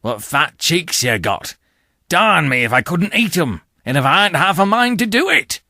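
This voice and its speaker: A man voicing a ragged escaped convict in an audiobook, rough, hungry and threatening, with rustic speech and dropped sounds. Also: fairly high pitch, sing-song, moderate pace, sharp tone, no room sound, studio quality, voice pushed up, heavily acted. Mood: menacing, greedy. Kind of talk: dramatised audiobook character voice.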